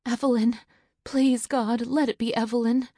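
A woman's voice: a little high, moderate pace, darkish tone, husky, no echo, clean studio sound, voice pushed up. A woman voicing a panicked mother who pleads as she searches for her missing daughter. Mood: desperate.

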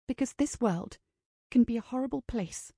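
A woman's voice: on the high side, moderate pace, darkish tone, no echo, close to an everyday voice. Narrator lady doing a spirited dreamer heroine in a romance audiobook, earnest, stubborn, dry sound. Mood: wistful, hopeful. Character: earnest, stubborn romantic young woman in a novel.

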